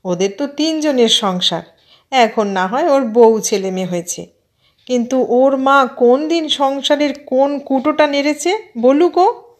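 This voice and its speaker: A woman's voice: sits high, measured pace, pitched above the performer's own voice. A woman voicing an older housewife in an audio story, a boastful, complaining aunt who scolds and belittles her husband. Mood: indignant, taunting. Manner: complaining, self-important, emphatic scolding.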